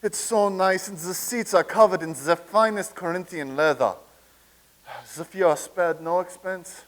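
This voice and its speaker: A man plays an excitable, thin-skinned military officer in a comic stage play. His voice sits high for a man, and he boasts and blusters. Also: unhurried. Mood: chatty, delighted. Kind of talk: staged play reading, comedic.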